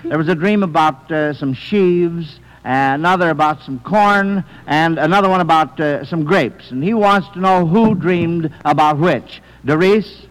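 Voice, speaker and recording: A man's voice: fairly high pitch, measured pace, hardly any pauses. An American man hosting an old-time radio quiz show in an encouraging, affirming manner. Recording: reverberant hall, vintage radio broadcast.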